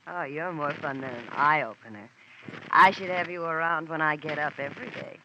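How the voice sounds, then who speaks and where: Casting: flirtatious rich wife, theatrical, vintage radio, hissy lo-fi. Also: female voice, sits low, moderate pace. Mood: coy. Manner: coy and flirtatious, turns melodramatic. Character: glamorous wealthy wife, theatrical, coy. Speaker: Mrs. Stella Drake. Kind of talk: 1950s radio drama acting. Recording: dull old radio recording with hiss.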